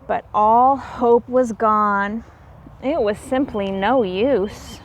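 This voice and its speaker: Female storybook reader, high voice, very unhurried; theatrical, emphatic teacher speaking simply to young children. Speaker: teacher reading a picture book aloud to children. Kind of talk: expressive children's storybook read-aloud.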